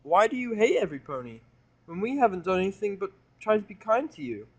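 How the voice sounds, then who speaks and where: A man's voice: high-pitched, sing-song, fast, creaky, a bit bright, voice pushed up, heavily acted. A man voicing a hyperactive, childlike female cartoon pony who pleads and gushes. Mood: distraught, pleading. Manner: bouncy, pleading, gushing.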